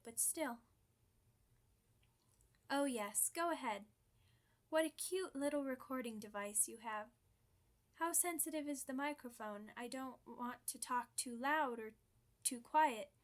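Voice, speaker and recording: A woman's voice: on the high side, a bit bright. A woman voicing a chatty, playful, theatrical old vampire in an ASMR roleplay, full of mock-grand asides.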